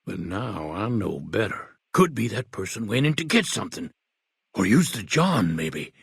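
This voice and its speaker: A paranoid, rambling old wasteland eccentric for a video game, with a folksy backwoods drawl. His husky voice leaps around in pitch, from hushed conspiratorial whispers up to alarmed yelps. The studio recording is close and dry. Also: sing-song, normal speed, no echo, exaggerated voice. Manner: suspicious, conspiratorial, jumps between whispers and outbursts.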